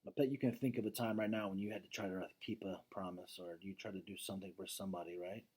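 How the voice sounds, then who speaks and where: A man doing a children's read-aloud, expressive, with casual asides and questions that check in with his listeners. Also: low-pitched, quick pace, breathy edge.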